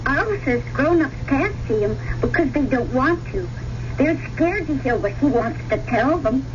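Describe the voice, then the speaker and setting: Little 7 year old boy, high voice, slow n halting, old radio play. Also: lively intonation. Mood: innocent, earnest. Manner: halting, childlike. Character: imaginative, innocent seven-year-old boy. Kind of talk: 1970s radio drama acting. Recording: dull, noisy old radio recording.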